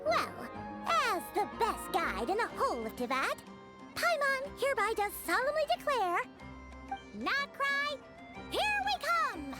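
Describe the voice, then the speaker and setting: Casting: excitable childlike game fairy guide, boastful, extremely high and squeaky, over prominent music. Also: female voice, measured pace, exaggerated voice. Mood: proud, playful. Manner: refers to self in third person, exclamatory, chirpy. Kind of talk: video game character dialogue.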